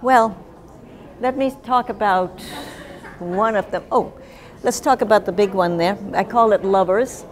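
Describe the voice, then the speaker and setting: An older woman giving casual interview answers in a reflective, gently humorous way. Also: mid-range voice, a little slow. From Japan. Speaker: guest, artist and writer.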